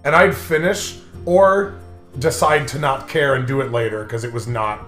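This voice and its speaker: A young American man chatting casually as a game co-host, telling rambling stories with sarcastic, dark humour. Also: mid-range voice, fairly even intonation, moderate pace. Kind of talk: casual joking chat, storytelling. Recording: roomy echo, faint music behind.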